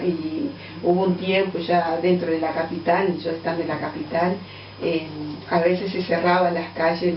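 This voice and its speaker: A middle-aged woman from Angola with a Portuguese-tinged accent, a guest answering interview questions thoughtfully, with many pauses and fillers. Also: fairly low pitch, a little hiss or hum. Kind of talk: radio interview. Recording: distant, roomy, dull lo-fi radio sound.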